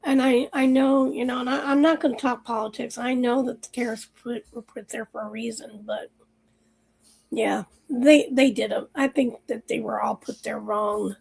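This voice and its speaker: A woman hosting a livestream, chatting casually and rambling with viewers while her voice is going hoarse. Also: fairly high pitch, fairly even intonation, normal speed, darkish tone, low-quality recording. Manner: chatty, rambling, lots of fillers. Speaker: livestream host. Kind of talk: casual chat with live chat viewers.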